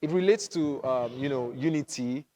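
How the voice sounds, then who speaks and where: A man's voice: mid-range voice, normal speed, dark tone. A man with a Nigerian accent giving an unscripted answer in an interview. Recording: noisy interview with clicks.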